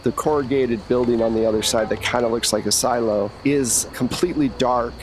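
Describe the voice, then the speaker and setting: A man's voice: medium pitch, fairly even intonation, fairly quick, slight background noise, rough recording. A man running a tabletop roleplaying game as host, narrating in a casual, conversational way. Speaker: game master and show host.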